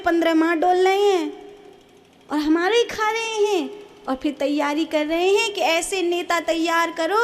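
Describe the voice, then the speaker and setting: A woman preacher speaks in a high voice at a slow, deliberate pace. She delivers a religious discourse to a congregation, exhorting them emphatically. She leans on repetition and rhetorical questions.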